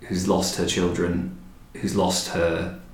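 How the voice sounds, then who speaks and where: A man's voice: sits low, completely flat delivery, slow pace. A man in a conversational podcast discussion, talking in a reflective, explanatory way. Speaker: podcast guest or host discussing a production. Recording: roomy, echoey sound.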